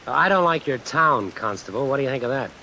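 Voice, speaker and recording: A man's voice: medium pitch, expressive, brisk, bright, forceful tone, rough recording. A man playing a terse, defiant young riveter and brawler in a 1950s radio drama. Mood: defiant, aggrieved. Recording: hissy old radio recording under rain sounds.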